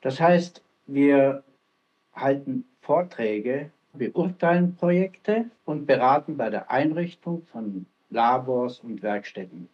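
An actor playing a German rocket professor who speaks in a formal, lecturing way, in a dull, archival-sounding interview recording. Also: male voice, mid-range voice, expressive, takes it slowly.

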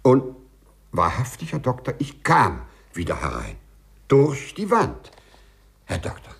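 A man's voice: medium pitch, huge pitch swings, measured pace, vocal fry, slightly rough, heavily acted. An actor playing a timid, overly correct male civil servant with hesitant, formal phrasing in a 1950s radio play. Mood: agitated, bewildered.

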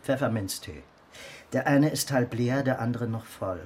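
An actor playing a sardonic police detective, briefing in a clipped, matter-of-fact way in a naturalistic radio crime drama. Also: male voice, mid-range voice, normal speed, a little mellow, breathy edge, lightly acted. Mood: dry, matter-of-fact.

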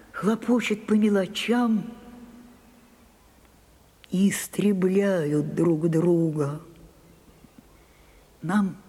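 A woman playing a grand, nostalgic older actress in a radio drama, with measured, theatrical delivery and dramatic pauses. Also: lowish voice, warm tone, full character voice. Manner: measured, dramatic pauses, falls to a breathy whisper. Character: Sarah Bernhardt, grand wistful ageing actress, theatrical and nostalgic. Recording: 1980s radio play with faint music.

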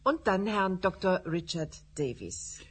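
A woman's voice: on the low side, lively intonation, unhurried, breathy edge. An actress playing a woman who hosts a televised science discussion, speaking formally and courteously. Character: woman TV science show host, formal and polite. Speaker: host. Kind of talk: radio drama acting.

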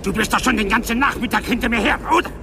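An actor playing an aggressive, foul-mouthed thug in a radio play, hectoring and menacing with a gravelly edge. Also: male voice, very high voice, fast talker, background noise. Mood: menacing, furious. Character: aggressive, foul-mouthed male thug confronting his follower.

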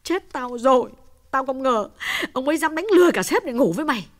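A man voicing a distraught young wife in a high, fretful falsetto. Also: expressive, fairly quick, a bit bright. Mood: panicked. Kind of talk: audiobook character voice.

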